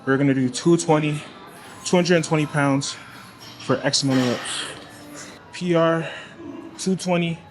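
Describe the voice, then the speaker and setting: A man's voice: a little high, steady tone, unhurried, long pauses. A young man from Toronto with a Toronto accent, chatting casually in a gym vlog and coaching between sets, recorded on a clamped camera mic. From Toronto, Canada. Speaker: fitness vlogger. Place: indoor gym. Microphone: clamped camera mic, no tripod.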